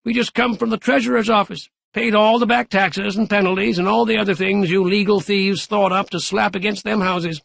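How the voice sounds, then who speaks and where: A man voicing a spry, excitable old man with a high, shrill, puffing voice. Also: brisk, gravelly, few pauses, no echo, exaggerated voice. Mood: crowing gleeful.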